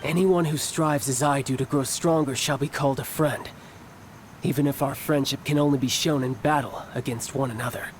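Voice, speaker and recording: An actor playing a confident, battle-hungry young male villain in a video game cutscene, speaking in a measured, formal and smug manner. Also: mid-range voice, fairly even intonation, slight background noise, natural voice. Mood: smug, composed.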